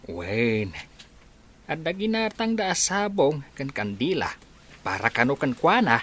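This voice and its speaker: A man's voice: fairly high pitch, very animated, a little slow, fairly bright tone, slight background noise. A man acting an easygoing old village husband and grandfather in an Ilocano radio drama. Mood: matter-of-fact.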